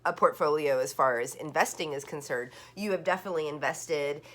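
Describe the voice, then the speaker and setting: A woman with a notably low voice talks through a tarot reading. She rambles in a loose, chatty way, piling up fillers and restarting her sentences. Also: brisk, fairly bright tone. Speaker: tarot card reader. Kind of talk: casual online tarot reading.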